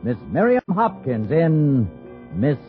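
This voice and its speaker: A man's voice: on the high side, big pitch swings, slow, faint music behind. An American man announcing a 1950s radio drama in a formal, dramatic manner. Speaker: program announcer. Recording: muffled old radio recording.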